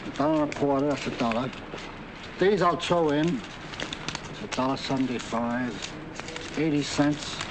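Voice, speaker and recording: An actor playing a dry, wisecracking middle-aged street flower vendor in a 1940s film, talking in slangy street speech. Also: male voice, medium pitch, moderate pace, pauses often, lightly acted. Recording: hissy 1940s film soundtrack.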